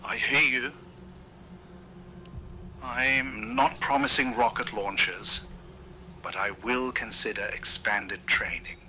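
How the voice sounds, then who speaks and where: A man performs a troubled, idealistic male park owner in a found-footage drama. The voice is very bright and sharp-edged, yet grave, and moves very slowly and deliberately over light background noise. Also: mid-range voice, steady tone. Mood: firm, reserved.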